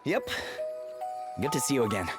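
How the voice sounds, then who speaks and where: An actor playing a plain-spoken, kindly young hero in a game, casual and earnest. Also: male voice, medium pitch, lively intonation, normal speed, loud music behind the voice, natural voice. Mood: friendly. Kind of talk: dramatic video game voice acting.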